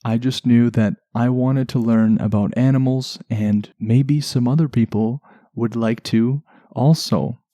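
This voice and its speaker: A man hosting a relaxation podcast in a soft, soothing voice, chatting gently and without hurry, lulling enough to send listeners to sleep. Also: medium pitch, fairly even intonation, dry recording. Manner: gentle, unhurried, chatty asides. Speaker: podcast host.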